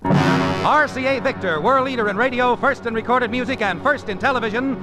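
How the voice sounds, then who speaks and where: A man's voice: extremely high pitch, sing-song, moderate pace, over music. A man announcing a program in formal 1940s radio style.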